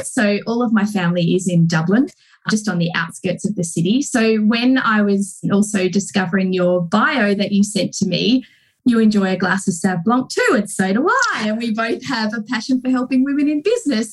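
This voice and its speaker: A woman's voice: medium pitch, low-quality recording. A woman from the United Kingdom hosting a podcast interview in an upbeat, friendly manner and laughing easily. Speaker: podcast host. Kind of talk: casual interview chat.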